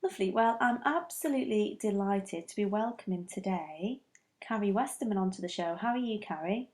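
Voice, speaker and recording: A woman's voice: mid-range voice, animated, brisk, a little mellow, faint music behind. A woman hosting a podcast, chatting in an upbeat, conversational way. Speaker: podcast host. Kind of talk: casual podcast chat.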